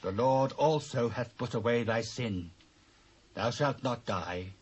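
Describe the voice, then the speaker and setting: A British actor playing a stern, solemn prophet in a dramatised Bible reading, speaking in measured, declamatory phrases. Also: male voice, medium pitch, husky, rough recording. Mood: stern, accusing.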